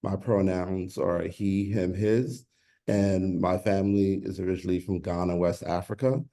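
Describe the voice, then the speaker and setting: A man from the Bronx introduces his cohort with proud, formal phrasing. His voice is very deep and dark, with a gravelly rasp. He speaks over a video call. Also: little pitch movement, normal speed. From the Bronx, New York. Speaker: program manager.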